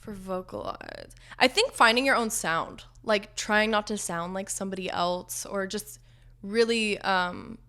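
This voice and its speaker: Young female singer-songwriter guest, low husky-edged voice with a bright ring, chatty and giggly. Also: unhurried. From Los Angeles area. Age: young adult. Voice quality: low speaking voice, slightly raspy. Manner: giggly, lots of "like", quotes herself in asides. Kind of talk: casual interview chat.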